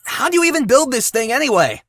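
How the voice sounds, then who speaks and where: A woman playing a grumpy, sarcastic and excitable young male hero in an audio drama. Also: sits high, quick pace, dry recording, clean studio sound. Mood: grumbling, sarcastic.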